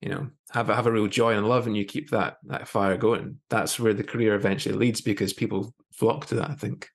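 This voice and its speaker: A man in his thirties hosting a conversational interview over a video call, rephrasing haltingly as he thinks. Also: on the low side, steady tone, creaky. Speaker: podcast host. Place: small plain room indoors. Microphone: video call with earphones.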